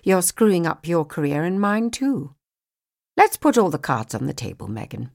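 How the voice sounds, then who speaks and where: Woman acting a hard-nosed, animated female talent agent. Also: on the low side, gravelly, no echo, clean studio sound. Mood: blunt, accusing. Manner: brisk, blunt, emphatic lecturing.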